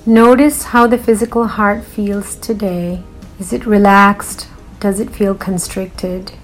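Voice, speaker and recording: A woman leading a guided meditation in a soothing, unhurried manner with long pauses. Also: medium pitch, low-quality recording.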